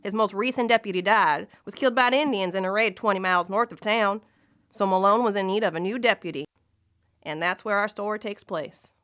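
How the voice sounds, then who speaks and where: A woman's voice: mid-range voice, brisk, fairly bright tone, close to an everyday voice. A woman playing a folksy, dramatic Old West narrator in an audio play. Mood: storytelling.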